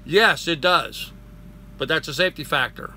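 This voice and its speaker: Bright-voiced older man hosting a live stream. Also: on the high side, normal speed, a little hiss or hum. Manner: rambling, friendly, greets viewers by name. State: hoarse. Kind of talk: casual live stream chat reading viewer comments.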